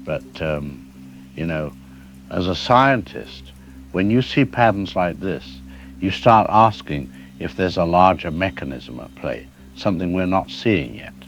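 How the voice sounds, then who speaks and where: An actor playing a calm, middle-aged seismologist who explains things carefully and hedges his words, as a guest in a news interview drama. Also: sits low, unhurried, lo-fi sound, soft background music. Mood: measured. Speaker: expert guest.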